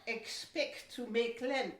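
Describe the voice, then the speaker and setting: A woman playing a smooth, scheming agent with a measured, deliberate delivery in a historical audio play. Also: fairly high pitch, steady tone. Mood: cool, scheming. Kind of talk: historical radio play acting. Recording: roomy, echoing room sound.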